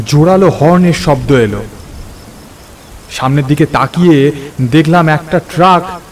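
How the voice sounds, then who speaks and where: A man playing a troubled, weary friend who is secretly a ghost, in a horror cartoon, speaking in a halting, ominous way. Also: fairly high pitch, darkish tone, lightly acted. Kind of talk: cartoon horror story acting.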